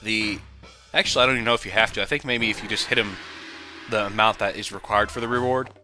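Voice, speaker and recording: A man giving casual, jokey, rambling commentary on a video game he is playing, with sudden loud whoops. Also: on the low side, steady tone, fast talker. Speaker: let's play commentator. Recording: game music behind voice.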